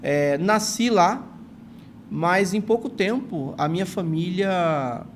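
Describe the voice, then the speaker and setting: A man's voice: sits high, slow, noisy background. A man in his forties from São Paulo with a São Paulo accent, a guest telling his life story in an earnest, grateful way, often adding "não é" to his sentences. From São Paulo, Brazil. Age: 40s. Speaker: guest, retired teacher and writer. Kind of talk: reflective storytelling in an interview.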